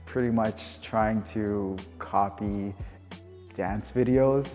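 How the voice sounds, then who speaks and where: A man in his thirties with a Canadian accent, speaking informally and reflectively as if answering interview questions, pausing and rephrasing as he goes. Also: lowish voice, steady tone, a little mellow. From Winnipeg, Canada. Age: 30s. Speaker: dance teacher. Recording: long reverb, music underneath. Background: music.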